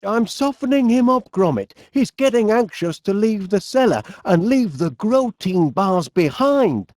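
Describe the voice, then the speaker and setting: A middle-aged, absent-minded inventor from an animated comedy game, with a Northern English accent. He takes his time, and his pitch bounces up and down as he chats in a fussy, polite, cheerfully bumbling way. The recording is dry and close. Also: measured pace, no echo. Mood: chatty, mildly fretful. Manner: bumbling, hesitant, polite, chatty.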